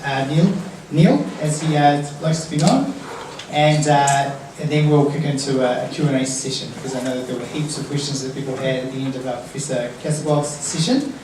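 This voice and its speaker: A man hosting a meetup, introducing the evening informally in a halting, hesitant way. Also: mid-range voice. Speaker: meetup host. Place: indoor event venue in an office building. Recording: echoey room, distant mic, noisy.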